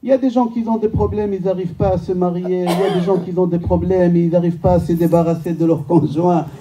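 A man's voice: high voice, steady tone, fast talker, far from the mic, noisy background. A male rabbi, a native Hebrew speaker, giving an informal lecture with rhetorical questions, emphatic repetition and joking asides. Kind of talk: informal religious lecture to a live audience. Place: medium-sized indoor synagogue function room.

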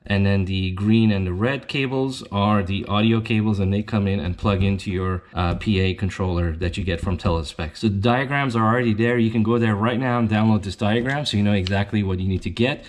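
Low-voiced man giving an install tutorial. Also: brisk, hardly any pauses. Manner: plain step-by-step explaining. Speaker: company representative presenting an install tutorial. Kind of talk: informal product demonstration and instructional explanation. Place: indoors at a tabletop demonstration bench.

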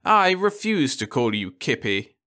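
A man's voice: mid-range voice, very animated, measured pace, bright, forceful tone, pitched above the performer's own voice. A man voicing a stern, earnest middle-aged mother who speaks firmly and insistently. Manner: firm, insistent.

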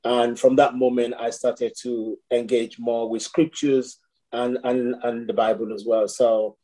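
A middle-aged man from Nigeria, a priest talking over a video call from a room at home. His voice is mellow and relaxed, and he talks in a reflective way, often pausing and restarting. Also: mid-range voice, low-quality recording. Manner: reflective, with frequent fillers and restarts. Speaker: priest and guest.

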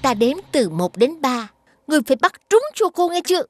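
A woman's voice: high-pitched, big pitch swings, brisk, faint music behind. A woman voicing a bossy, haughty villain queen. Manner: haughty, commanding.

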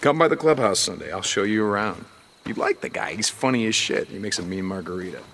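An American actor playing a gruff, wry outlaw biker in a video game, with halting, sardonic delivery. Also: male voice, lowish voice, expressive, a bit bright, slight background noise. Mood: dry teasing. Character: gruff, wry outlaw biker, adult man, guarded but teasing. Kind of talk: naturalistic cinematic game acting.

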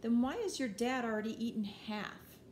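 A woman's voice: a little high, lively intonation, normal speed, darkish tone, lightly acted. A woman voicing a friendly, curious young boy in a storybook, emphatic and wondering. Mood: puzzled. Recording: roomy echo, background noise.

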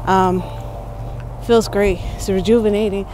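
A woman's voice: medium pitch, steady tone, unhurried, slight background noise. A young woman fitness coach giving workout instructions outdoors while demonstrating exercises, encouraging and practical. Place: outdoor park road. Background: loud background music.

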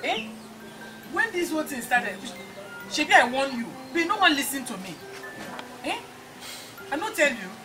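An actress playing a defensive middle-aged mother who protests loudly in Nigerian Pidgin. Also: sits high, sing-song, music in the background, poor audio. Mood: scolding. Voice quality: loud, protesting, rapid Pidgin. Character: defensive mother of a ruined son. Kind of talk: film drama acting.

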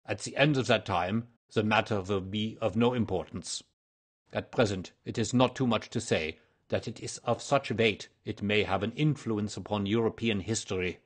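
Haughty aristocratic king as a male narrator acts him, storybook character, formal, emphatic, husky, low-pitched. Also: moderate pace. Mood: grave, formal. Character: proud, agitated, aristocratic Bohemian king in disguise.

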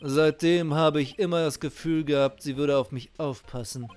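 A stern young male prosecutor from a video game, read by a man. He speaks formally and seriously, brooding in clipped, measured phrases over faint music. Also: on the high side, fairly even intonation, a little mellow, close to an everyday voice. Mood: brooding, resigned.

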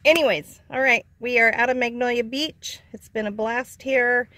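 A woman's voice: fairly high pitch, steady tone, moderate pace, pushed and bright. A middle-aged woman chatting casually as a travel vlog host inside a vehicle. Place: inside a parked or moving vehicle.

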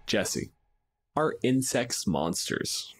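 A man's voice: mid-range voice, big pitch swings, drawn-out words, heavy background noise. A man hosting a podcast, talking casually and bluntly in informal gamer chat. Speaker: podcast host. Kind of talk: casual discussion of tabletop gaming.

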